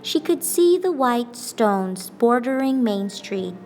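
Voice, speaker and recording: A woman reading a children's picture book aloud as a teacher, with expressive, deliberate phrasing and pauses for emphasis. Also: fairly high pitch. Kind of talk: scripted storybook narration. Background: background music.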